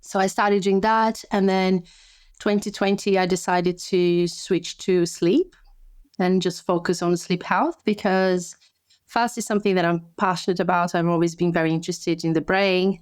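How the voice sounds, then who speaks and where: A woman's voice: fairly low pitch, clear, resonant tone, dry recording, studio quality. A woman from Brazil, a podcast guest and sleep coach, chatting casually, often restarting her sentences and laughing easily. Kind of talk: casual interview chat.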